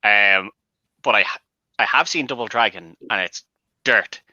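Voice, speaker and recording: Very bright, sharp-voiced man chatting. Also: fairly low pitch, measured pace, no room sound. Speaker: panel guest. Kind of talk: casual film discussion. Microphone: online group call. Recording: dull online-call audio.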